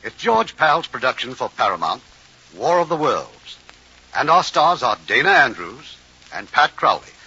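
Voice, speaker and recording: Male radio theater host, scripted introduction, forceful, raspy, lively intonation, muffled vintage broadcast. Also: mid-range voice, moderate pace.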